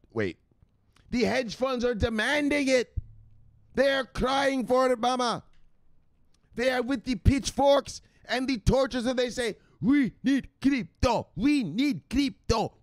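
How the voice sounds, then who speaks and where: A man voicing a frantic grown son who shouts excited pleas at his mother in broken phrasing. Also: very high voice, measured pace, no echo, studio quality, voice pushed up. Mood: frantic pleading.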